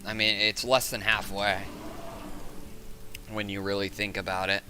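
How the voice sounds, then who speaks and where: A man with a raspy, hoarse voice chatting casually on a game livestream, rambling and thinking aloud. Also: fairly low pitch, little pitch movement, measured pace, pushed and bright, faint music behind. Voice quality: raspy, sore voice. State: hoarse from hours of talking. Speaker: game streamer, host. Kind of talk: casual livestream chat while gaming.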